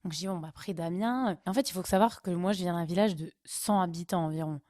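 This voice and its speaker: A woman's voice: lowish voice, fast, a little mellow, no echo, studio quality. A young woman from northern France, casually telling travel stories with chatty, laughing asides. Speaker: vlogger. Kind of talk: casual storytelling.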